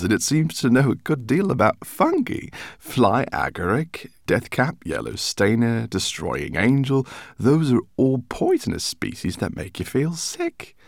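A man reading an audiobook voices a gentle, quietly amused male professor who knows about magical creatures. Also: lowish voice, vocal fry, no room sound, studio quality, pitched above the performer's own voice, heavily acted. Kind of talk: audiobook character voice.

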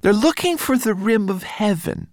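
A man's voice: high voice, lively intonation, moderate pace, no room sound, studio quality, voice pushed up. A man voicing a tiny, nervous homunculus servant in a storybook, whining and stammering out excuses. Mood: flustered, nervous.

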